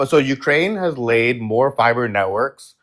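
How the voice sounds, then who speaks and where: A man's voice: mid-range voice, animated, measured pace, a bit bright. A man on an online debate panel, stammering and repeating words as he speaks. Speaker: panel guest.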